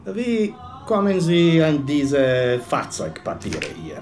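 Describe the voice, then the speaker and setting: An actor playing a stern, sardonic Italian police officer questioning someone in an audio drama. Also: male voice, fairly high pitch, huge pitch swings, slow, darkish tone, faint music behind, heavily acted. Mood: sardonic interrogation. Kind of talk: acted dialogue in an audio drama.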